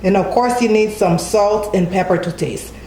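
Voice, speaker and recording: A woman's voice: medium pitch, moderate pace, warm tone, reverberant, a little hiss or hum, rough recording. A woman hosting a home cooking tutorial, walking through each step in a friendly way and often adding "okay?" as she goes. She is from Haiti. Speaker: cooking channel host. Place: home kitchen.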